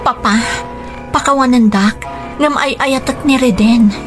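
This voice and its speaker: A woman's voice: sits high, unhurried, faint music behind. An actress playing a young woman in love who pleads earnestly, in a radio drama. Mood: pleading.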